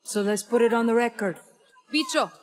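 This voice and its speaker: An actress playing a determined, teasing young rebel activist in a game cutscene, casual in manner. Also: female voice, a little high, moderate pace, close to an everyday voice. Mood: teasing resolve. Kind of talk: video game cutscene acting. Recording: faint background ambience.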